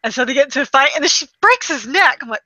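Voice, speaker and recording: A woman's voice: high-pitched, expressive, rapid-fire, pushed and bright, dry recording. A woman in casual, informal conversation, telling stories expressively and quoting reactions as she goes. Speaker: podcast co-host reviewing movies. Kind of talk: casual chat, animated anecdote-telling.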